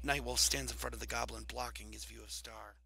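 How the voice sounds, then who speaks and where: Gravelly, forceful male roleplay host. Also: medium pitch, steady tone, a little fast. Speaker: roleplay video host and narrator. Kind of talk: casual commentary and roleplay narration. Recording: faint background noise.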